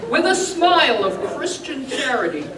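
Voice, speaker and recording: A woman giving a dramatic live poetry recitation in a theatrical, emphatic, deliberate storytelling delivery. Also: sits high, very animated, a loud crowd. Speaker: performer giving a dramatic reading. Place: roomy indoor venue, recorded at a distance. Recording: echoey, noisy live room, distant mic.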